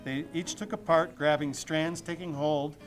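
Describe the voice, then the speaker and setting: A man's voice: a little high, steady tone, unhurried. A man reading a rhyming children's story aloud, with music playing behind him. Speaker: storybook reader.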